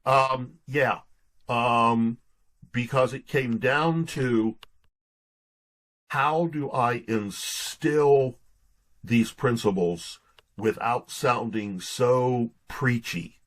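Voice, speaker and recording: A man's voice: medium pitch, steady tone, extremely slow, a bit bright. A man speaking as a guest author in an interview, conversational, often restarting and repeating his words as he goes.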